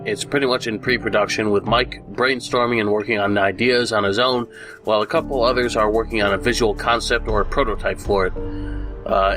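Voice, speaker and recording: A man who sounds congested from a recent illness gives a casual, rambling news update for his channel, full of fillers and restarts. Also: fairly low pitch. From United States. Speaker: gaming YouTuber.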